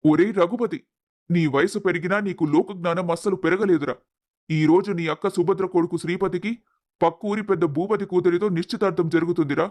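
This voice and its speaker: Man playing a scolding, high-voiced old villager. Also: close to an everyday voice. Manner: scolding, emphatic. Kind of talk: dramatized moral story acting.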